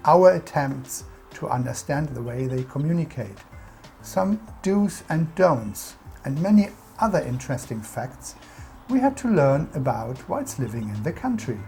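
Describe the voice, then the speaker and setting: A woman's voice: sits low, sing-song, measured pace, mellow, soft background music. A woman with a German accent, narrating a scripted explainer about English culture in an instructive, emphatic way.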